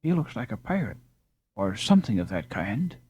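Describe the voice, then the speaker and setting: A man's voice: lowish voice, very animated, moderate pace, a little mellow, slight background noise. A man voicing an uneasy, suspicious boy in a storybook, speaking confidentially. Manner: whispering confidentially. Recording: slightly dull, dry recording.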